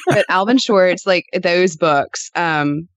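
Female podcast guest, thirties, animated casual anecdote-teller, dry close sound. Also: lowish voice, slow pace, no echo. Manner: animated storyteller, peppers talk with "like".

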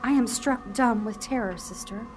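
A woman playing a nervous, excitable sister in a radio play, with fussy theatrical delivery. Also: on the high side, normal speed, natural voice. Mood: frightened. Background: music.

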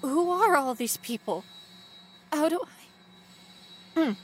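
A woman playing a frightened, stubborn young female ghost researcher in a first-person horror audio drama, with an intense, breathy delivery. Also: high voice, animated, takes it slowly, faint music behind. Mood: shocked, pleading. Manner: intense, breathy, dropping to whispers and rising to cries.

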